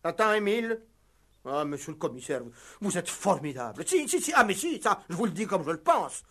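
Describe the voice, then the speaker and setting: An excitable village police sergeant blusters with a very animated, swooping voice. He is pompous and keeps repeating himself, in the manner of a comic 1960s French radio play. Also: high voice, a little fast, exaggerated voice. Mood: flattered, gushing. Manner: blustering, repetitive, stammering over words. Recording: vintage radio drama recording.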